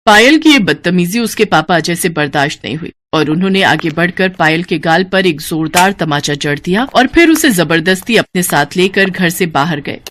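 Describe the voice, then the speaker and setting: A woman's voice: on the low side, fairly bright tone, slight background noise. A woman narrating a scripted Hindi cartoon moral story in a dramatic storytelling style.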